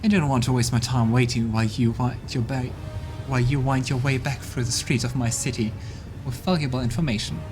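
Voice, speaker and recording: A man's voice: medium pitch, steady tone, brisk, lightly acted. A man voicing an arrogant, scornful female demon queen with a contemptuous, scoffing manner, over game music. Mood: haughty.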